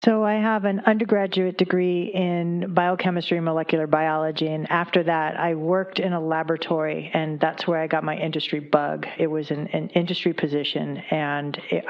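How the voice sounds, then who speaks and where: A woman, an industry scientist, telling her career path on a panel in a steady, matter-of-fact way. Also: sits low. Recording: dull lo-fi room recording.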